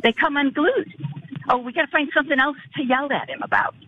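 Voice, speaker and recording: An older woman from north of Chicago calling into a radio show, talking in a halting way and repeating words. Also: high voice, huge pitch swings. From northern Illinois. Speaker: caller. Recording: phone line with faint noise.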